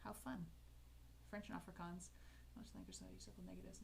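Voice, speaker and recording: A woman's voice: fairly low pitch, steady tone, fairly quick, gravelly, darkish tone, a little hiss or hum. A woman with a Canadian English accent chatting casually with her live viewers while she letters, upbeat and full of fillers and restarts. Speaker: calligraphy livestreamer.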